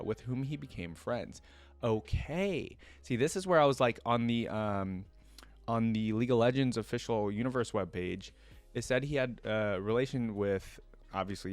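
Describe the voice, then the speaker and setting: A man hosting a reaction video, reading lore aloud and breaking in with informal, off-the-cuff commentary as he thinks out loud. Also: lowish voice, dry recording. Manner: informal, thinks aloud between read passages.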